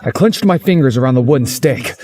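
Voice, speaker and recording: A man's voice: medium pitch, animated, a little fast, mellow. A man narrating a visual novel in the first person, as a story's narrator.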